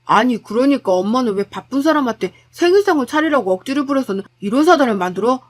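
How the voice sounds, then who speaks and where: A woman's voice: high voice, lively intonation, fast. A woman voicing an exasperated, blunt husband caught in a family quarrel. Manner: blunt, irritated. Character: exasperated young married man, blunt.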